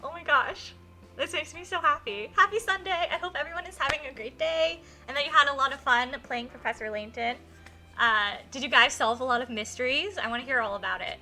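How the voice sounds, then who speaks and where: A woman hosting a live game stream with casual, effusive, excitable chat. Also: sits high, fairly quick. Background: music.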